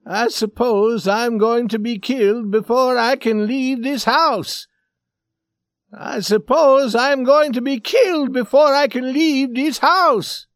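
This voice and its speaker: Guy reading a story as a scared paranoid man, high agitated voice, pleading, kinda desperate. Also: slow, clear, resonant tone, no echo, clean studio sound. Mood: terrified. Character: nervous, frightened Swedish man, suspicious and desperate.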